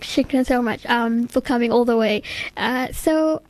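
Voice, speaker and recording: A woman hosts a radio interview from the studio. Her voice sits high, and she nudges her guest along with friendly, quick follow-up questions. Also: a little mellow, poor audio. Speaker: radio show host.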